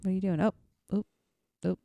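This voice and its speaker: A woman from the Midwest chatting casually, acting out phrases in a high voice. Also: a little fast, creaky, dry recording. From American Midwest. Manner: animated, mimics phrases in a high voice. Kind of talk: casual chat. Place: home in Florida.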